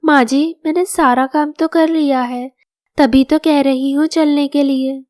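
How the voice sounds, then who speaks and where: A woman's voice: high voice, steady tone, unhurried, a little mellow. A woman voicing a meek, hardworking young daughter-in-law in a moral story, politely asking. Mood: pleading.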